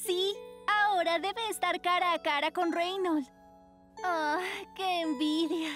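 Very high, girlish cartoon teen. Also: takes it slowly, soft background music, full character voice. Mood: wistful. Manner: girlish, expressive. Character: sweet, dreamy, boy-crazy teenage girl. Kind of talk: animated cartoon dubbing.

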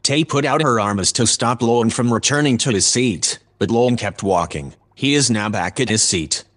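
A man's voice: mid-range voice, a bit bright, close to an everyday voice. A man voicing a composed, obliging artificial intelligence that narrates formally in an audio drama. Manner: formal, measured.

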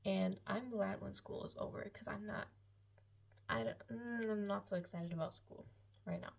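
Late-teen woman, German speaker, gravelly fry, slightly husky, hesitant casual vlogging. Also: medium pitch, normal speed. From Austria. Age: 17, late teens. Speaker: YouTube vlogger. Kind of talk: casual chat to camera, personal Q&A. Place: small lived-in room at home, indoors.

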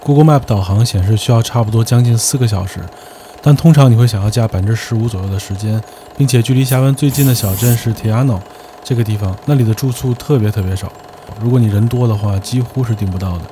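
A man narrating his travel vlog in a calm, explanatory way, giving practical tips. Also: mid-range voice, quick pace, slight background noise. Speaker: travel vlogger. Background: background music.

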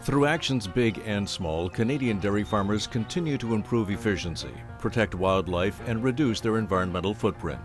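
A man giving scripted documentary narration in an even, measured way. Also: lowish voice, music in the background.

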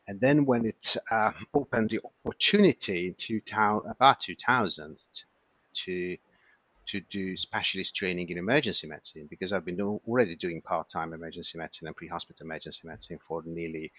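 Hungarian-accented male doctor, 50s, halting delivery, lo-fi sound. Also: low-pitched, fairly bright tone. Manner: hesitant, many restarts and fillers. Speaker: emergency physician guest. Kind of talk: casual interview chat.

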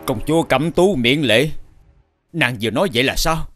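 A man's voice: medium pitch, lively intonation, moderate pace, over music, natural voice. Solemn fairy-tale king, played by a man. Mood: courteous, grave. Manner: solemn, courtly. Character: dignified, measured king and father. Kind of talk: Vietnamese animated fairy tale voice acting.

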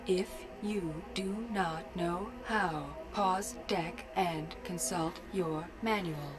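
A cool female interface computer in an audio game. She gives slow, impersonal commands in a lowish voice, with prominent music behind her. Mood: flat, instructive. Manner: measured, impersonal, clipped commands. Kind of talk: scripted audio game instructions.

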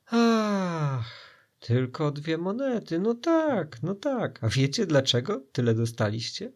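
A man's voice: on the high side, very animated, slow, pitched above the performer's own voice, exaggerated voice. A man voicing a demanding, theatrical fake genie who speaks with grand confidence and mock indignation in a storybook tale. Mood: feigned weariness.

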